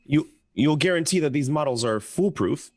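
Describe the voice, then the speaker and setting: A man's voice: on the high side, expressive, normal speed, a little mellow, dry recording. A man playing a nervous, stammering husband with a guilty secret in a radio play. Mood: anxious, eager. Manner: hesitant, stammering, flustered. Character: nervous, henpecked-feeling husband with a guilty scheme.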